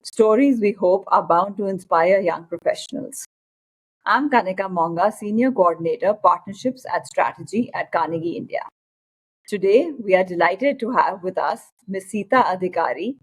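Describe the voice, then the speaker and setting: Female interviewer, formal scripted delivery, mid-range pitch. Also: husky, poor audio. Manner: formal, reads from a prepared script. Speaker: interviewer and host. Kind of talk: scripted introduction then interview questions.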